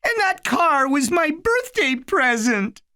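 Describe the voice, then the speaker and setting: A woman's voice: sing-song, slow, sharp tone, heavily acted. A woman doing a whiny scared little boy. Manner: whiny, tearful, high.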